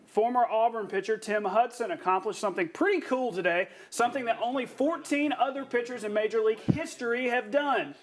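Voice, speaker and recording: A man's voice: very high-pitched, brisk, hardly any pauses. A man presenting a television sportscast in a chatty, casual way. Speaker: sports news anchor.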